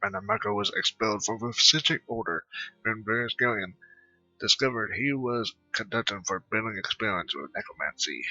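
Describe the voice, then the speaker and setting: A man hosting a casual gaming video in a low, very sharp and husky voice. He rambles and halts as he comments, on a dry, slightly dull microphone. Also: big pitch swings, no echo, faint music behind. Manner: halting, rambling. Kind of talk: casual game commentary, reading dialogue aloud.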